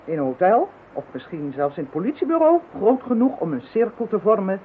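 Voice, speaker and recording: A woman's voice: medium pitch, big pitch swings, a little fast, lightly acted. A woman playing a fierce, determined psychic in an audio drama, speaking emphatically. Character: fierce, determined woman leading psychic fight against villains. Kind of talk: Dutch audio drama acting. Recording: thin phone-like sound, faint noise.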